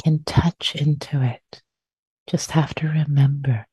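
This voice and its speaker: A woman teacher leading a guided meditation, speaking gently and softly, lingering on and repeating phrases. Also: low-pitched, steady tone, slightly rough, no echo. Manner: gentle, soft-spoken, unhurried with repeated phrases.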